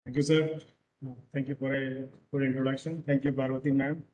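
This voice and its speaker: A man from Punjab with a Punjabi accent, giving an online lecture over a video call and pausing often with fillers. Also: medium pitch, little pitch movement, mellow, dull audio. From Punjab, India. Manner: hesitant, many filler pauses. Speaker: guest lecturer.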